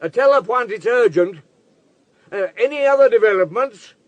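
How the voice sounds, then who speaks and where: A businesslike police chief in a radio play. He speaks in a raised pitch with a very dry, close sound, handing out clipped orders in a matter-of-fact way. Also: expressive, normal speed, no echo, muffled recording. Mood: brisk, dry. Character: businesslike male French police chief, terse and commanding. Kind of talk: radio drama acting.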